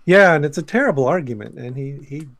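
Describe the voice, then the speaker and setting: Gravelly-voiced man in casual discussion. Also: a little high, lively intonation, quick pace, fairly bright tone, no echo. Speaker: podcast guest.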